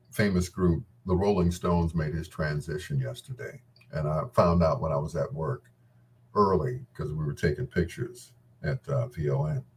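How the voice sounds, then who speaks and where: A man's voice: low voice, darkish tone. A man hosting a talk show with a casual, unscripted monologue, gossipy and emphatic, often repeating his phrases. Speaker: host of a bedtime talk show.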